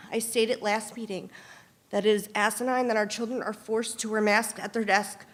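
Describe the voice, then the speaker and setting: A woman's voice: medium pitch, level tone, normal speed. A woman giving a combative, accusatory public comment at a meeting.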